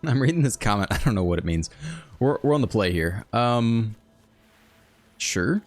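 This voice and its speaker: A man hosting a card game video, thinking aloud in a relaxed, chatty way as he plays. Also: fairly low pitch, moderate pace, dry recording, soft background music. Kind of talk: casual live gameplay commentary.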